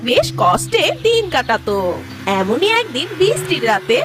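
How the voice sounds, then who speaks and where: A woman's voice: sits high, big pitch swings, moderate pace, noisy background. A woman narrating a scripted cartoon story for children.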